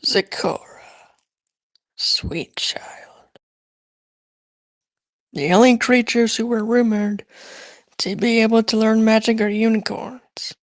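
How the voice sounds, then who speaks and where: A woman voicing a patient, gently dismissive schoolteacher in a cartoon fan drama, explaining in a measured way. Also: fairly high pitch, fairly even intonation, dry recording. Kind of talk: scripted cartoon fan voice acting.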